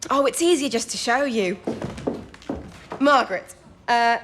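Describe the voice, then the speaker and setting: An actress playing an eager, mischievous nineteen-year-old British codebreaker in a period audio drama, polite, earnest and excitable. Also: female voice, on the high side, unhurried, background noise, natural voice. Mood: playful, excited. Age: young adult. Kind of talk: period radio drama acting.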